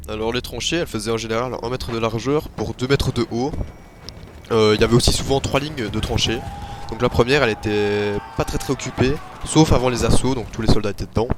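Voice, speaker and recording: Young man explaining, loud street noise. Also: medium pitch, fairly even intonation, fairly quick. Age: teens or young adult. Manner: plain, hesitant, many "euh". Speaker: student presenter. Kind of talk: explanatory history presentation.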